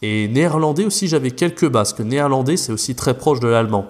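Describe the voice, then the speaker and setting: A man's voice: medium pitch, fast. A French man, a language teacher, talking casually as a podcast guest, rambling with many fillers and restarts.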